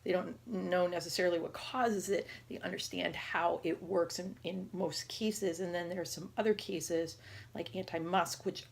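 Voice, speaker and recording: A woman around forty with a raspy voice, talking casually and haltingly in a personal health vlog. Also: on the low side, fairly even intonation. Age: 30s or 40s. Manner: halting, rambling, conversational. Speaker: patient video diarist.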